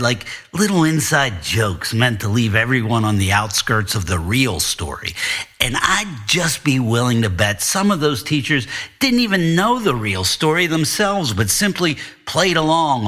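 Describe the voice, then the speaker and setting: A man reading scripted narration as an author and podcast host, in a measured, wry manner. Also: mid-range voice, bright, forceful tone. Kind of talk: scripted first-person fiction narration.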